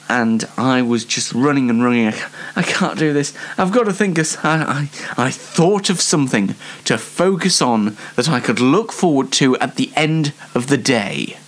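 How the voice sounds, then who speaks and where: A man's voice: mid-range voice, rough recording. A young British man telling casual anecdotes as a show host, in a chatty, rambling and self-deprecating way. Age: young adult.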